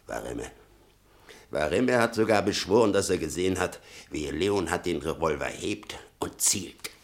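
An actor playing an embittered old father in a German radio drama, gravelly, muttering and brooding with sudden outbursts. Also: low voice, lively intonation, a little slow. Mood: bitter, agitated. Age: older. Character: embittered old father obsessed with his convicted son.

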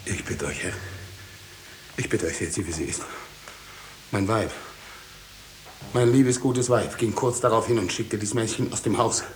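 An actor playing a passionate, guilt-ridden husband and farmer in a theatrical 1950s German radio drama. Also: male voice, on the low side, a little slow, pauses often, natural voice. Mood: broken, confessing. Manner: impassioned, theatrical. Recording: echoey, faintly hissy 1950s radio recording.

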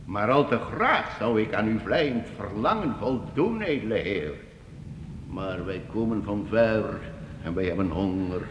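An actor playing a grandiloquent wandering minstrel in a medieval radio drama, declaiming with flowery deference. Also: male voice, lowish voice, animated, a little slow, dull audio. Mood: deferential, solemn. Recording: echoey, noisy old radio play recording.

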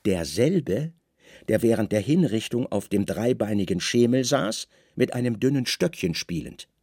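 A man narrating a radio drama in an expressive, theatrical literary storytelling style. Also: fairly low pitch, normal speed, husky, no echo, clean studio sound.

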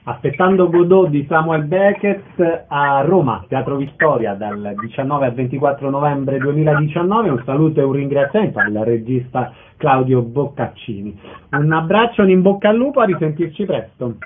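A man's voice: fairly high pitch, lively intonation, moderate pace. A man hosting radio, announcing details and signing off through a narrow, phone-like sound. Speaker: radio host. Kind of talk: radio show announcement and sign-off.

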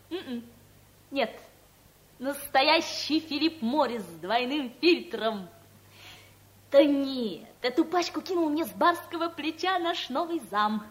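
A flippant, gossiping young secretary in a vintage radio comedy. Her voice sits fairly high, and she chatters on lightly. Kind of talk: 1970s studio radio comedy acting.

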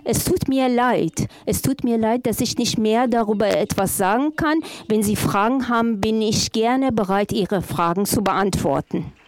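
A woman with a fairly high voice works as an interpreter at a panel talk. She renders speech in the first person, feeling her way through sentences and often repeating or recasting a phrase. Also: unhurried, few pauses.

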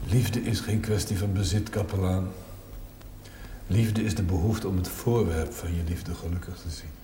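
An actor playing a wry, reflective man of authority in a television drama, speaking in a measured, thoughtful way. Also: sits low, steady tone, close to an everyday voice. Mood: gently philosophical. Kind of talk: naturalistic television drama acting. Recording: roomy, distant, faintly noisy 1970s television soundtrack.

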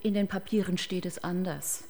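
An actress playing a cold, defiant clinic doctor under interrogation in a German radio crime drama, formal and indignant. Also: female voice, fairly low pitch, steady tone, normal speed, darkish tone, natural voice. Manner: formal, clipped, indignant, evasive.

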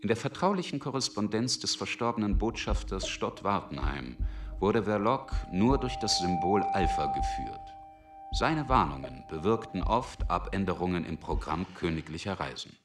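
An actor playing a narrator who reports on a secret agent in a scripted radio drama. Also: male voice, sits low, sing-song, normal speed, far from the mic. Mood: matter-of-fact narration. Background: loud plucked guitar music.

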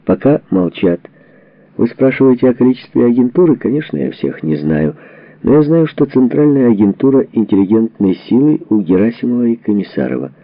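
A man reading a historical book as a narrator, in a measured, formal delivery, working through letters and documents. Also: medium pitch, sing-song, dry recording, narrow, tinny audio. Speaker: audiobook narrator.

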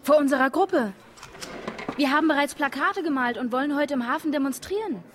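An actress playing an indignant, strong-willed environmental activist in a German radio drama. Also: female voice, sits high, a little fast, background noise, natural voice. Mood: dismayed, sarcastic.

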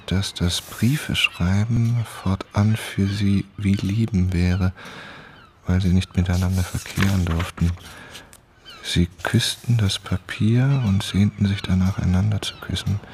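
Low-voiced novelist turned investigator. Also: male voice, darkish tone, a little hiss or hum. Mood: pensive, reading. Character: determined male novelist investigator narrating his inquiry. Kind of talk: scripted first-person radio play narration. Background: music.

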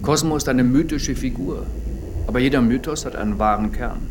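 A male actor playing an insistent, probing official in a radio drama, questioning formally and deliberately. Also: mid-range voice, noisy background, lightly acted.

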